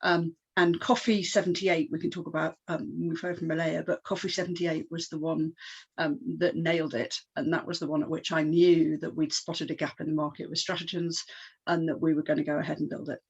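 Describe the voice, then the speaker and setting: A woman's voice: low-pitched, flat delivery, fairly quick, husky. Chatty woman, muffled video call. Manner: chatty, restarts and fillers. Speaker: panelist, company founder. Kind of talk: online panel discussion.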